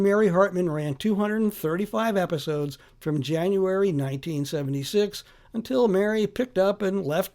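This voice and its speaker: Male podcast host, somewhat high pitch, scripted documentary narration, measured emphatic delivery. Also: darkish tone.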